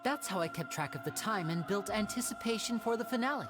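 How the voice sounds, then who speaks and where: A woman's voice: medium pitch, animated, fast, natural voice. A woman playing a composed young male stage magician in a video game, polished, articulate and a little defensive. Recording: music behind the voice.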